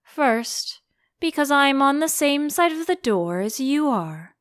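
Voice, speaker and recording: Woman voicing a slow, solemn frog footman. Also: sits high, very animated, no room sound, voice pushed up, exaggerated voice. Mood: matter-of-fact. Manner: slow, solemn, literal-minded. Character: frog footman, solemn, obtuse, stubbornly indifferent servant.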